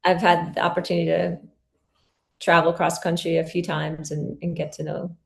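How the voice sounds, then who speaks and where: A woman from New Jersey giving a casual home tour and interview, chatty and halting, laughing easily. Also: lowish voice, flat delivery, vocal fry. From New Jersey, USA. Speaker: interview guest and expat homeowner.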